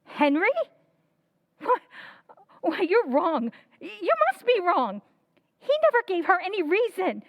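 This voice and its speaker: A woman's voice: very high-pitched, very animated, unhurried, long pauses, no room sound, dull audio, exaggerated voice. A woman playing an earnest elder sister in an old-time radio drama, speaking in an indignant, demanding way.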